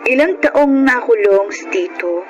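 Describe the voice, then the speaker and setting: An actress playing an earnest, emotional young Filipino niece in a radio drama. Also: female voice, fairly high pitch, animated, measured pace, highs cut off, loud music behind the voice. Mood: sorrowful, reflective. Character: earnest young niece, shocked by her uncle's crime.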